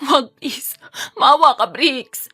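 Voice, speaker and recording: A woman voicing a terrified but defiant woman in an audio drama, with loud, desperate cries. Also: sits high, slow pace, no room sound, soft background music, pitched above the performer's own voice, full character voice. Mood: pleading. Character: terrified, defiant young woman fighting off an attacker.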